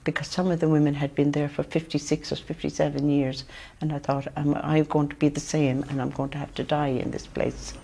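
An older woman giving a quiet, measured interview in a sitting room. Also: low-pitched, flat delivery, gravelly, a little hiss or hum. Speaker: interviewee, former laundry worker. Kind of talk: interview testimony.

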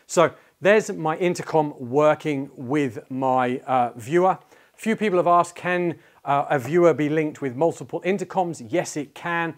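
A man in his forties giving a casual, conversational product walkthrough, pausing and feeling his way as he demonstrates, recorded on a lapel mic in a small studio. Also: on the high side. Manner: conversational, halting while demonstrating. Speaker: tech reviewer, presenter. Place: small indoor studio office.

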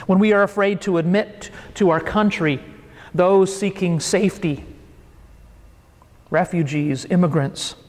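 A middle-aged male pastor delivers a sermon from the pulpit, earnest and measured, building his points with steady repetition. His voice sits fairly high for a man. The sound rings with the long reverb of a church sanctuary.

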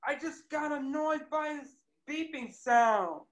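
A man's voice: very high-pitched, takes it slowly, exaggerated voice. A man reading a frazzled, whiny bar owner. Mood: exasperated. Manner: exasperated shouting, whiny complaints. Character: easily annoyed male bar owner Steve, frantic. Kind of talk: amateur table read over video call. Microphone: laptop webcam mic on video call.

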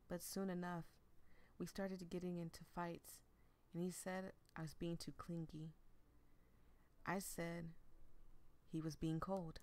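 Sincere, attentive young boyfriend read by a woman, measured visual novel dialogue in a lowish female pitch. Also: darkish tone. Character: gentle, attentive young boyfriend, open about feelings.

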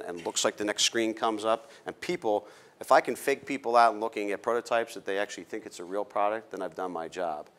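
A man's voice: on the low side, a bit bright. A man in his fifties with an American accent giving an informal business lecture in a direct, emphatic coaching manner, on a lapel mic in a small room. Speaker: startup founder giving a talk. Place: small indoor meetup room.

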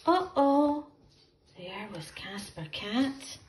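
Expressive woman reading a children's story slowly. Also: high voice. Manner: expressive, slow and deliberate for young listeners.

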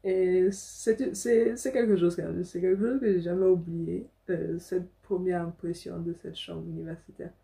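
A woman's voice: on the low side, fairly even intonation, moderate pace, creaky, hardly any pauses, mellow. A young adult woman from Africa telling a personal story casually to camera as a vlogger, indoors in a small room.

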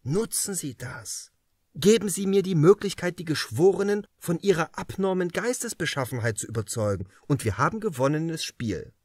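A man's voice: on the high side, very animated, moderate pace, darkish tone, slightly rough, no room sound, clean studio sound. A man reading an audiobook as an earnest, exasperated young defence lawyer, pleading urgently.

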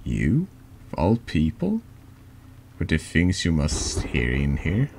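A man's voice: extremely low pitch, huge pitch swings, slow pace, vocal fry, darkish tone, full character voice. A man voicing a snide, needling man in a confessional for a horror text game. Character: taunting, insinuating man in a confessional. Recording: noticeable background noise.

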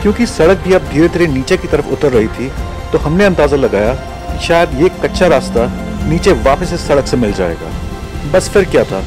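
A man from India tells the story of a mountain road trip in a calm, reflective voice-over. His pitch sits a little high and moves a lot. Music plays under him. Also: moderate pace. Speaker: travel vlogger narrating. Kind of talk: voice-over narration. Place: voice-over, not recorded on location.

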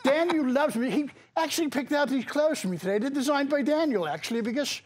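A man's voice: very high voice, expressive, fast. Man doing a bawdy old English nanny. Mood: indignant. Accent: put-on English. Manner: rambling, flirty, keeps saying Arthur. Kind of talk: improvised comedy bit.

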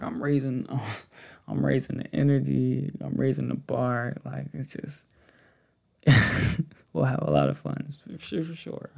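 Slow, gravelly-voiced young man, hushed and intimate. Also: medium pitch. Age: young adult. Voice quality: gravelly, breathy.

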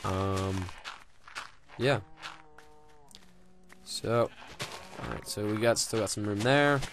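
A man's voice: fairly low pitch, takes it slowly, music in the background. A young man casually commenting on his game, rambling and joking with lots of swearing and fillers. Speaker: gaming video host.

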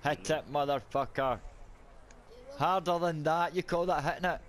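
A man's voice: on the high side, fairly even intonation, normal speed. A man bantering casually over an online game, boisterous, sweary and giggly, on a noisy voice chat. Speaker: video game player.

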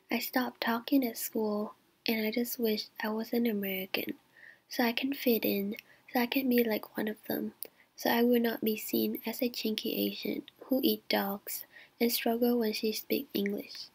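A young woman from Vietnam with a Vietnamese accent, reading her own life story in a soft, reflective, breathy way. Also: on the high side. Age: young adult. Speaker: digital story narrator. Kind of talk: scripted personal narration. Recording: voice-over with clear music behind.

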